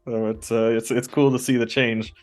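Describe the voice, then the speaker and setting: A young man who grew up between two countries, talking as a guest in a casual conversation, relaxed and halting with many restarts. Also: medium pitch, faint music behind. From Norway and the US.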